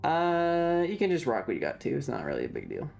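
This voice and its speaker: A woman's voice: low voice, wildly swooping pitch, fairly quick, creaky, darkish tone, soft background music. A woman giving casual gameplay commentary as a streamer, offhand and thinking aloud. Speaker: game streamer.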